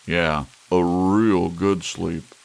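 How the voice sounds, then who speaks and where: Man acting deep, sardonic drunken city editor. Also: very slow, creaky, full character voice. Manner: theatrical, mocking. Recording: steady hiss, rain sound underneath.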